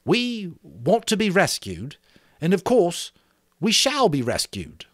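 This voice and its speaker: Man acting a young schoolboy leader, earnest, emphatic, higher lively pitch, dramatic audiobook character, dry close sound. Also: slow pace, no echo, exaggerated voice. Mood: hopeful, rallying. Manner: emphatic, speech-making to a group.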